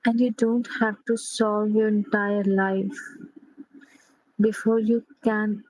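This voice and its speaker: A woman leading a guided sleep meditation in a gentle, unhurried manner, pausing between short phrases. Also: mid-range voice, level tone, no echo, poor audio.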